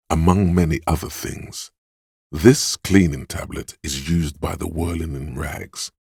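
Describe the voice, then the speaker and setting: A man's voice: a bass voice, gravelly, breathy edge, no room sound, clean studio sound, heavily acted. A man voicing a wry, disembodied inner sense of smell that narrates to the player in sardonic second-person descriptions for a video game.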